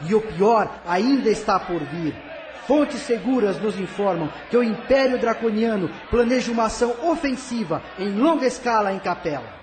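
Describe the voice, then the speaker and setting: A man playing an alarmed alien council member in an audio drama, loudly and urgently declaiming a warning. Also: high voice, lively intonation, normal speed. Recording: noisy crowd ambience behind voice.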